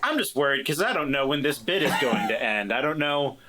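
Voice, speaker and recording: A player on a tabletop game podcast, joking casually in a halting, self-correcting way. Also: mid-range voice, sing-song, pushed and bright, poor audio. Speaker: player on actual play podcast.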